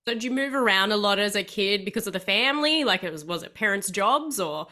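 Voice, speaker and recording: Bright-voiced woman hosting an interview. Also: mid-range voice, animated, fast talker. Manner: chatty, laughs often. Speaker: host. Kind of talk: casual video-call interview.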